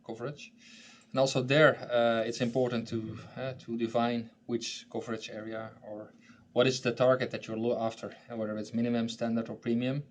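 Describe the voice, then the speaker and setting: A man hosting a training session, walking through a software tool in a conversational style. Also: medium pitch, little pitch movement, a little fast. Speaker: training presenter and host.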